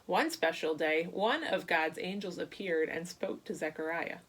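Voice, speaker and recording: A woman with a slightly low voice tells a story to young children. She speaks in an animated, simple way, addressing her listeners directly like a Sunday school storyteller. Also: moderate pace, slightly rough, poor audio. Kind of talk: read-aloud children's Bible story and chat to kids.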